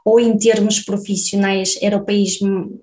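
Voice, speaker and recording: A woman's voice: medium pitch, level tone, a little slow, mellow. Portuguese woman host, talkative, laughs a lot, keeps asking right?. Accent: European Portuguese. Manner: chatty, laughs often, checks in with tag questions. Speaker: podcast host. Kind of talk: conversational interview.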